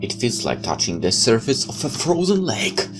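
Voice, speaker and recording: A man's voice: medium pitch, huge pitch swings, moderate pace. A man reading a visual novel aloud in first person, sometimes stepping out of the narration for casual comments. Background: background music.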